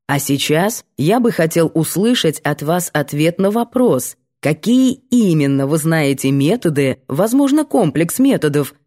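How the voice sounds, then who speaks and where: A woman's voice: deep voice, dark tone, voice pushed down. Woman voicing a pompous male economics professor. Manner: pompous, didactic. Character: stern, theatrical male economics professor, lecturing.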